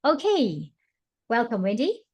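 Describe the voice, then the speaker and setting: A woman's voice: a little high, huge pitch swings, takes it slowly, breathy edge. Welcoming woman hosting an online interview. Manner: bright, welcoming, with filler ums. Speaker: host.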